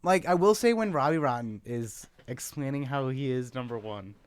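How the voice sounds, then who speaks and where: A man's voice: mid-range voice, animated, normal speed, clean tone. A man co-hosting a comedic radio show, teasing and asking probing follow-up questions. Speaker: radio co-host. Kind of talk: comedic banter.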